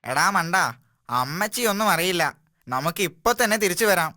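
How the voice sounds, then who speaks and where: A woman's voice: lowish voice, expressive, moderate pace, pushed and bright, no echo, clean studio sound. A woman voicing a cheeky, boastful young animal in a children's cartoon, teasing and bossy. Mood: coaxing, bossy. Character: cheeky, boastful young mischief-making animal friend with a tail.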